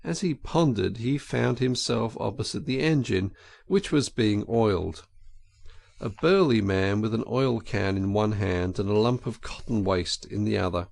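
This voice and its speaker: A man narrating an audiobook in an expressive, theatrical and deliberate storytelling style. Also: lowish voice, creaky.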